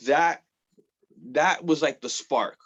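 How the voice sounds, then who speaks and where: A man's voice: on the high side, slow, fairly bright tone. A man in his thirties from the Bronx, chatting loosely as an interview guest, full of fillers and casual swearing. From the Bronx, New York. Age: 30s. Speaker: guest artist.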